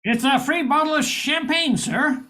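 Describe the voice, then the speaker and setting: An older woman playing a confident, brisk private detective in an amateur old-time radio drama. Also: sits high, a bit bright. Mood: slyly insistent.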